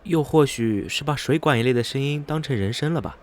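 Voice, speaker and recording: Man playing a measured, educated, curious traveller. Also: medium pitch, slight background noise, close to an everyday voice. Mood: sceptical. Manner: measured, reasoning, inquisitive. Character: educated, rational, curious male traveller, calm and sceptical. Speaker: actor in an amateur student radio drama. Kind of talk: radio drama acting.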